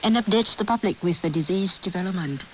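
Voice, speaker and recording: A woman with a Vietnamese accent reads scripted news in a formal, measured way over a shortwave radio broadcast. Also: lowish voice. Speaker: radio news presenter and host. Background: clear static noise.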